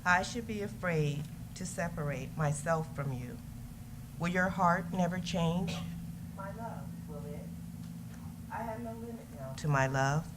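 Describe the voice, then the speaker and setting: Devoted, passionate lover played by a woman, deep female register, staged letter reading, clearly noisy recording. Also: expressive, measured pace, pauses often. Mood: tender, anxious. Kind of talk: readers theater love letter. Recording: noisy live event recording.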